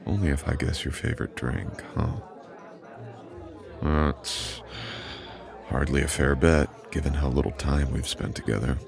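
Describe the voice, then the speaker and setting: Very deep, gravelly immortal boyfriend acted by a man. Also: crowd noise, exaggerated voice. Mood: warm, teasing.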